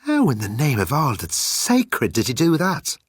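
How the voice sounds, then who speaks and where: Man putting on an excitable Irish schemer, pitched up. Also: a little slow, breathy edge, no echo, studio quality, full character voice. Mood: shocked, indignant. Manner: exaggerated folksy Irish phrasing. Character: scheming Irish conman leader, excitable and sceptical.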